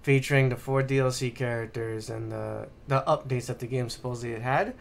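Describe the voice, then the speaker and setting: A man's voice: medium pitch, fairly even intonation, fairly quick, gravelly. A man co-hosting a livestream as a game streamer, chatting casually.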